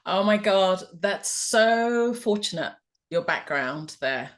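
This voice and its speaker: A woman from Ghana hosting a podcast, asking reflective, measured questions. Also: medium pitch, animated. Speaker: podcast host. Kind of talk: interview conversation.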